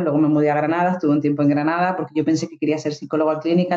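A woman in her thirties with an Andalusian accent, speaking in a warm, relaxed tone. She talks reflectively as a guest, checking in with little tag questions. The sound is muffled and roomy, like a remote call with echo. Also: low-pitched. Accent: Andalusian Spanish. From Spain. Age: 30s. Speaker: guest psychologist and coach. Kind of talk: casual interview talk.

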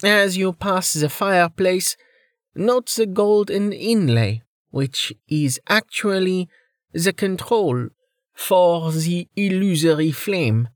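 Man acting a male realtor, high-pitched, chatty, professional, salesmanlike, lilting, audiobook dialogue. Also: expressive, takes it slowly, dry recording, clean studio sound. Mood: upbeat sales patter. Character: chatty, polished male realtor giving a house tour.

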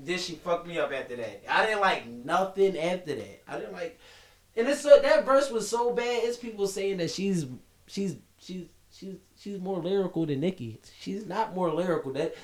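A man's voice: sits high, expressive, normal speed, fairly bright tone, roomy sound. A man co-hosting a podcast, talking in casual, emphatic banter and laughing often. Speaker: podcast co-host.

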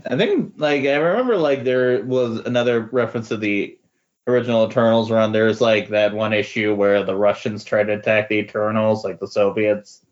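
Man, lowish pitch, hesitant, conversational, filler-heavy fan podcast talk, slightly muffled recording. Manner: halting, tentative, peppers speech with "like". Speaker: podcast co-host discussing comics. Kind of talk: casual comics chat. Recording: dull lo-fi voice recording.

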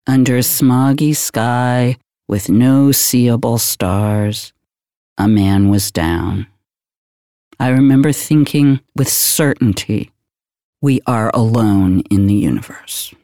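An actor as a dark-voiced, slow, weary detective. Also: male voice, medium pitch, no echo, clean studio sound. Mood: grim. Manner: deadpan, brooding. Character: world-weary, morose older male police detective, reflective. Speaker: police detective. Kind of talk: noir monologue, recollection.

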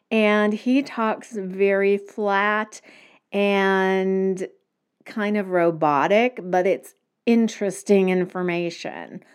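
A woman's voice: mid-range voice, a little mellow, clean tone. A woman hosting a solo podcast, talking conversationally and recommending things to listeners. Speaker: podcast host.

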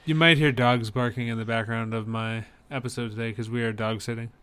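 A man's voice: mid-range voice, steady tone, fairly quick, a bit bright, smooth. A man co-hosting a podcast, talking in an easygoing, conversational way as he chats about films. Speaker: podcast co-host. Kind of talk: casual chat about films.

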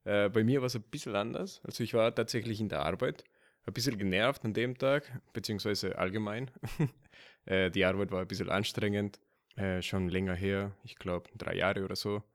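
Guatemalan-born young man, lowish voice. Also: moderate pace. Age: 20s. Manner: loose and hesitant, laughs easily. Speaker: student guest. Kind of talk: casual podcast chat.

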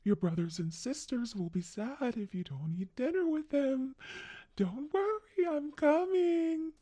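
Woman voicing a slow, cooing old crone monster. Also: high voice, expressive, warm tone, no room sound, voice pushed up. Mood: eerie calling. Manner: doting, drawn-out cooing. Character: eerily sweet old woman monster doting on children.

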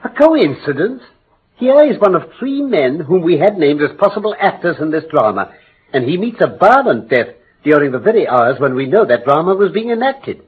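An actor playing a brilliant, commanding English detective in classic radio drama, speaking with precise, formal, emphatic diction. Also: male voice, on the high side, sing-song, normal speed, breathy edge, exaggerated voice. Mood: keen, emphatic. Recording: muffled old radio broadcast.

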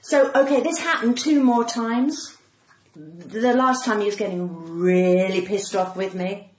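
A woman's voice: medium pitch, darkish tone. Theatrical cut-glass Englishwoman in an echoey room. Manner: theatrical, uses dramatic pauses and acted dialogue. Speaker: comedian guest telling anecdotes. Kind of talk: animated anecdote storytelling in casual interview. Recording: echoey room with faint background noise.

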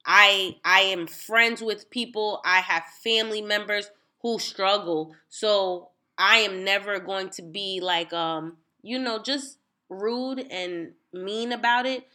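A woman's voice: medium pitch, slow, sharp tone. Congested young woman podcast host. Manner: earnest, thoughtful, hedging with fillers. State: congested, nasal. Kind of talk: casual group chat.

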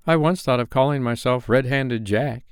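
A man's voice: mid-range voice, normal speed, clear, resonant tone, dry recording, clean studio sound, voice pushed up, lightly acted. A man voicing a brave but diffident English boy in a storybook. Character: brave, boyish English lad, diffident yet defiant.

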